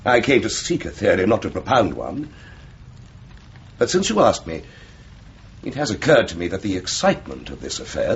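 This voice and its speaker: Pompous upper-class English lord, lively pitch. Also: male voice. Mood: pompous, defensive. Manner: pompous, indignant. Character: haughty English lord, defensive and touchy. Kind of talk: radio drama acting. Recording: dull radio recording with noise.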